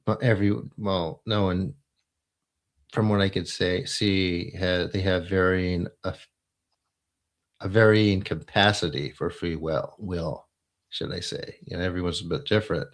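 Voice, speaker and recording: A man's voice: low voice, fairly even intonation, a little slow. A man hosting a folklore podcast, asking questions in a curious, affirming conversational way. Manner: curious, affirming, occasional laughs. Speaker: podcast host, interviewer. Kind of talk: conversational interview.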